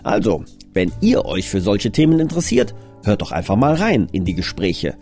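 A man's voice: on the low side, wildly swooping pitch, normal speed, darkish tone, faint music behind. A man presenting his own audio drama in a scripted but conversational trailer, explaining things earnestly.

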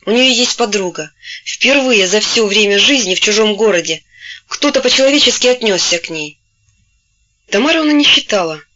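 A woman narrating a novel in a steady storytelling voice, gently taking on the characters' voices when they speak. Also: mid-range voice, moderate pace, highs cut off. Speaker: audiobook narrator. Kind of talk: scripted literary narration.

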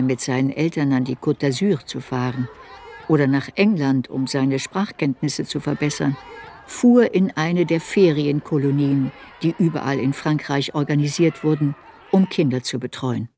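An actress playing a reflective woman writer in a radio play, recalling her girlhood in a sober, deliberate, introspective way. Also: sits low, warm tone, some chatter behind. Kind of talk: literary radio play narration.

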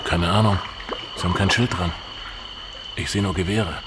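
An actor playing an inquiring traveller in radio drama dialogue. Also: male voice, deep voice, fairly even intonation, normal speed, heavy background noise, close to an everyday voice. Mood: questioning, alert. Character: male traveller questioning his river guide.

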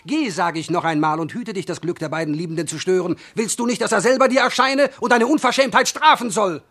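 A mocking, insolent servant in a classical radio drama. The high-pitched voice taunts with false friendliness and scolds like someone who enjoys barring the door. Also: male voice, a little fast, a bit bright. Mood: condescending, warning. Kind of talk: classical German radio play acting.

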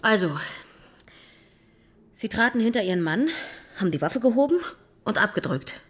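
An actress playing a brisk, sceptical police detective in a radio drama, dry and matter-of-fact. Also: female voice, medium pitch, expressive, natural voice. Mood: firm, probing. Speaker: police investigator.

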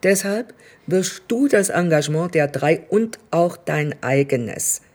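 A woman's voice: fairly low pitch, expressive, slow pace, a little mellow. A woman acting in a radio play as a menacing, condescending state premier who speaks with controlling courtesy. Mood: cold pressure.

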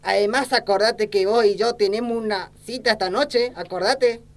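A woman's voice: mid-range voice, normal speed, lightly acted. A woman playing a crooked, lecherous, ignorant old small-town politician, with an Argentine accent and folksy, blustering talk in an amateur satirical sketch. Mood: brash, flirty.

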